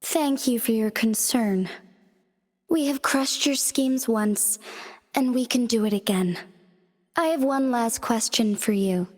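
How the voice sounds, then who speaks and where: A young game magistrate, calm and dignified, with a slightly high voice. She speaks in a composed, formal way, and a long reverb hangs on the audio. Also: slow. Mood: stern. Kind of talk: video game cutscene voice acting.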